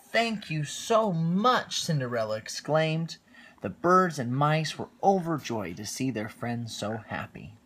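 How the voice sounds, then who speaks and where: A man reading a picture-book story aloud to a young child on a phone livestream, gently stopping to chat with the child. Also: fairly high pitch, a little hiss or hum. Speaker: storytime reader.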